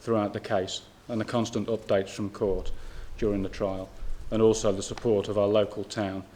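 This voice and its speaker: Formal man reading, very even pitch. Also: moderate pace, a little hiss or hum. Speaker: police family liaison officer. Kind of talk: reading a statement on behalf of a family. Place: room at a press briefing.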